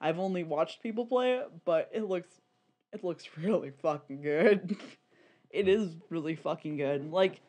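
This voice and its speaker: Rambling, sweary podcast co-host. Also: mid-range voice. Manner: rambling, emphatic, swears freely. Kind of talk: casual, opinionated podcast chat about niche fan media.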